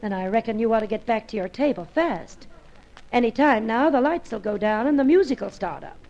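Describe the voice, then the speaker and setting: Woman acting a brassy, creaky-voiced, stubborn dame. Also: a little high, expressive, a little fast, darkish tone, highs cut off. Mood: scornful, stormy. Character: brassy, stubborn woman bird painter, slangy and wounded. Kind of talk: pulp radio serial acting.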